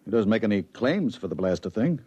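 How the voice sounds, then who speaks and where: A man playing a steady bureau chief giving a briefing, in 1950s radio drama style. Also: lowish voice, fairly quick, husky. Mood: matter-of-fact. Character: steady male bureau chief briefing his trusted investigator. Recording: muffled vintage 1950s radio recording.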